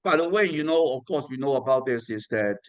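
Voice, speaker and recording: A man's voice: medium pitch, expressive, brisk, clean tone, no echo, highs cut off. A man hosting an interview, asking friendly, rambling questions with lots of fillers. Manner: friendly, rambling with many "you know" fillers. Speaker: interview host.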